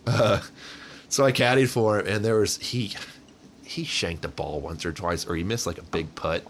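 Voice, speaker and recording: Deep-voiced man telling stories over noise. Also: steady tone, normal speed. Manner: rambling, jokey, profane. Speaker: interview guest. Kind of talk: casual storytelling chat. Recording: noisy recording with low rumble.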